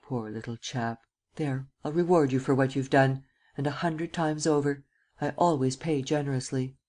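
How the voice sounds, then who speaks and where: Woman voicing a forceful, imperious nobleman. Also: low voice, normal speed. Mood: quiet, assured. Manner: imperious, vehement. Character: commanding ruthless male nobleman, forceful.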